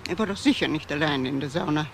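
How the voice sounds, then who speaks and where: A woman's voice: low voice, expressive, fast, a little hiss or hum. An actress playing a bereaved, commanding older mother in a crime radio drama, speaking in short questions and firm sentences. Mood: stunned, grieving.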